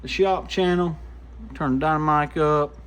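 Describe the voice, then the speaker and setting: Folksy man with a slightly high voice. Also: measured pace, a bit bright, clean tone. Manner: folksy, easygoing, talks to himself while working. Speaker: radio repair shop vlogger. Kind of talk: casual hands-on bench commentary. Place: small radio repair workshop, indoors.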